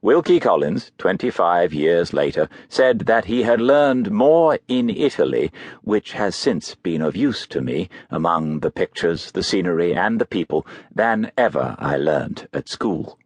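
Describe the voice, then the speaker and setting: A man narrating a biography audiobook in a measured, literary storytelling manner. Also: sits low, very animated, breathy edge, no room sound.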